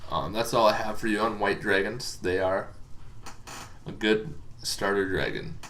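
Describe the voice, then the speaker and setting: A man's voice: on the low side, unhurried, a bit bright, slight background noise. A man hosting a casual, informal explainer on tabletop game monsters, talking in a rambling, hesitant way as if thinking aloud.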